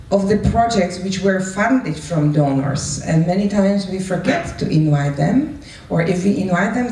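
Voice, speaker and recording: A woman's voice: medium pitch, a little mellow. A non-native English-speaking woman moderating a live event and introducing speakers. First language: not English. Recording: echoey room, distant mic, background noise.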